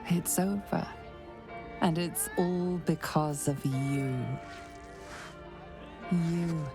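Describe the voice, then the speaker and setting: A woman playing a grave, ominous fantasy game narrator who tells of fate in a creaky, portentous voice. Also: low-pitched. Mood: solemn. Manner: slow, portentous, second-person storytelling. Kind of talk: scripted dramatic game narration. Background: music.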